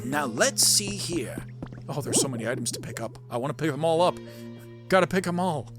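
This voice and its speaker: Playful streamer chatting casually over a game, really lively pitch, music behind. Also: brisk. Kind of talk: casual let's play commentary.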